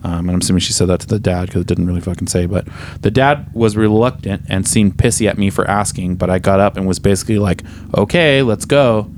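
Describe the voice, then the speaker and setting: A man's voice: low-pitched, quick pace, few pauses, a little mellow, slight background noise. A man hosting a casual podcast, swearing often and reading a story aloud with many fillers. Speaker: podcast host.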